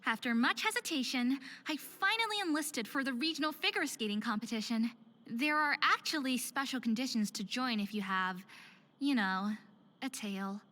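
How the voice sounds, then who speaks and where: An actress playing a sensitive, anxious young hybrid woman in a visual novel, introspective and expressive. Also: a little high, normal speed. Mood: weary, uneasy. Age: young adult. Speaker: lead character narrator. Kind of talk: visual novel voice acting, soliloquy and diary narration.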